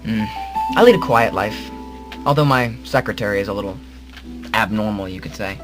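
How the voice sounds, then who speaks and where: Man as a halting, hapless hero over music. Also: lowish voice, close to an everyday voice, rough recording. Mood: distracted. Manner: halting, melodramatic, rambling. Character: earnest, hapless grown male hero telling his story. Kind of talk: comedic radio drama narration.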